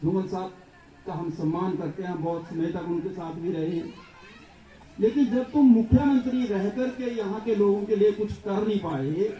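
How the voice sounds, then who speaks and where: A man with a high voice gives a political speech to a public gathering. He builds his case through long, repeated lists. The sound is distant, echoey and dull. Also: normal speed, dark tone, a faint crowd. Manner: rhetorical, repetitive lists. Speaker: political speaker.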